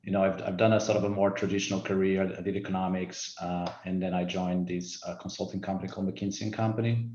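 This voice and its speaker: Low, flat-voiced Portuguese man. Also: fast, hardly any pauses, roomy sound, dull audio, slight background noise. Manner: thoughtful, hesitant with many fillers and restarts. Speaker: resort CEO guest. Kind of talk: interview answers.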